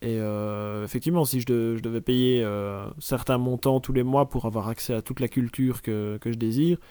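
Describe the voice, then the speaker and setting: A man with a Belgian Liège accent talks through a casual tech chat, stumbling and restarting as he hunts for words. His voice is dark and mellow, recorded dry and close. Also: mid-range voice, steady tone, normal speed, smooth, dry recording. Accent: Liège, Belgian French. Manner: searches for words, restarts often.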